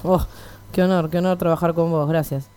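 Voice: medium pitch, steady tone, brisk, dark tone, clean tone, close to an everyday voice. A performer playing a timid, deferential man in his fifties at an audition, hesitant, with an Argentine accent, in a radio comedy. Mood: humble, eager. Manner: hesitant, eager to please. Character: timid, deferential 53-year-old male actor auditioning. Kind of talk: comic radio play acting.

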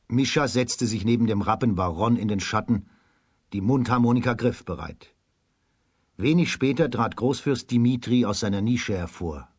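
A man giving measured, scripted storytelling narration for a children's radio drama. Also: mid-range voice, breathy edge, no echo, clean studio sound.

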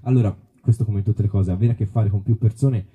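Guy presenting his book, really mellow muffled-dark voice, bit raspy, explains stuff reflectively. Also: lowish voice, steady tone, fast talker. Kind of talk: informal live book presentation.

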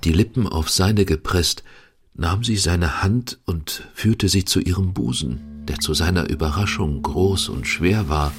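A man's voice: low-pitched, a little slow. A man giving scripted literary narration in a radio drama. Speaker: second narrator. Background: music.

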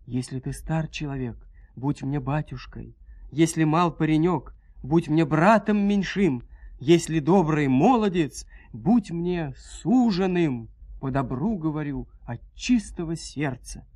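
A man's voice: on the high side, animated, measured pace, darkish tone, no echo. A tender young maiden from a fairy-tale audio play, acted out by a male storyteller. The delivery is pleading and declamatory, full of earnest devotion. The sound is dry and close. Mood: tender, solemn.

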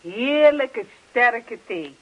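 An actress playing a chatty, insistent and exasperated wife in a radio play, with an emphatic way of talking. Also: female voice, a little high, expressive, extremely slow, breathy edge, lo-fi sound, heavily acted. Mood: sweetly agreeable. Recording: old radio play recording.

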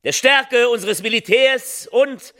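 An actress playing a solemn, stirring political leader who declaims a theatrical speech in weighty, measured phrases. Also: female voice, a little high, a bit bright. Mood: proud, forceful. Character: female political leader, solemn, stirring, coldly militant orator.

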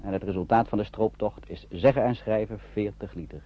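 A husky-voiced male narrator played by an actor tells a wartime story for a radio play. His delivery is measured and literary, and the old recording sounds dull and muffled. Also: lowish voice, lightly acted. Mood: narrative, suspenseful.

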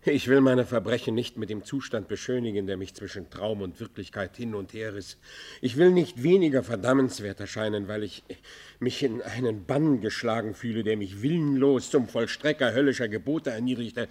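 A man's voice: medium pitch, moderate pace, darkish tone, close to an everyday voice. A man playing a tormented, guilt-ridden fugitive outlaw in a German radio play, speaking in an anguished, declamatory literary manner. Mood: desperate.

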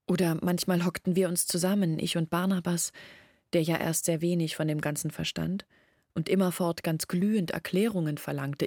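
An actress playing a sorrowful young village woman in a radio play, speaking in a hushed, deliberate, brooding way. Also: lowish voice, breathy edge, no room sound, studio quality. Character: sorrowful young village woman recounting her family's disgrace. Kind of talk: radio play monologue, intimate recounting.

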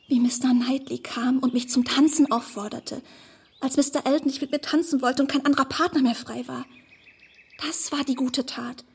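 A woman playing a naive, smitten young woman in a radio drama, earnest and effusive with a breathy delivery. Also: high voice. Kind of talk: German radio drama acting.

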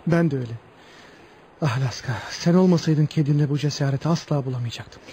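Casting: male actor as earnest condemned young hero, brave and heartfelt, slightly high warm voice, radio theatre. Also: a little fast, slight background noise, close to an everyday voice. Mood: tender, resolute. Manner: solemn, heartfelt.

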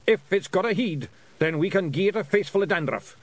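A man's voice: high voice, animated, brisk, voice pushed up. A man voicing a belligerent little pixie brawler, growling in a Scottish accent, in a storybook reading. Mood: cocky, belligerent. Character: burly tiny male pixie brawler, cocky and belligerent.

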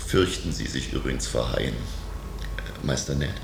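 An actor playing a learned, uneasy professor of natural history in a radio drama, with a lecturing manner that turns hesitant. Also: male voice, rumbling low, moderate pace, gravelly. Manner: lecturing, hesitant when nervous. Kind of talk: radio drama dialogue and first-person narration. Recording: roomy sound with heavy background noise.

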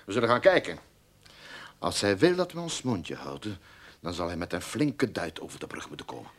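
A man acting a broke, sarcastic, bad-tempered detective agency boss in a radio drama, excitable and blustering. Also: on the low side, brisk. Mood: scheming, mocking.

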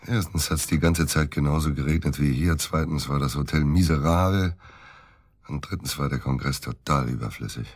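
An actor playing a disgruntled middle-aged provincial surgeon, grumbling and curt, in a naturalistic radio drama. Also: male voice, very deep voice, normal speed, slightly rough, dry recording, clean studio sound. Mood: bitter, grumbling. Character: disgruntled middle-aged provincial surgeon, worried about his missing wife.

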